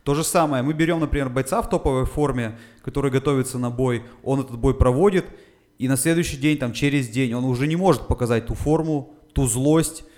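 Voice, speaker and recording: A man's voice: mid-range voice, a little fast, a little mellow. A man, a fitness coach, talking casually on a podcast, explaining things step by step with frequent "uh" fillers. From Urals, Russia. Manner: methodical explainer with filler sounds.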